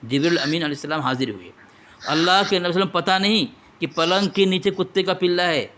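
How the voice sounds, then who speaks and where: A man's voice: sits high, quick pace, fairly bright tone. A man interviewing and debating religion on a phone call, pressing his questions insistently and quoting from books. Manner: quotes from books and scripture.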